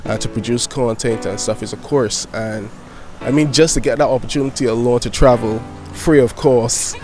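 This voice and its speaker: A man's voice: medium pitch, moderate pace, darkish tone. A nineteen-year-old man, a youth media founder and poet, answering interview questions eagerly with many fillers. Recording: clear music playing behind.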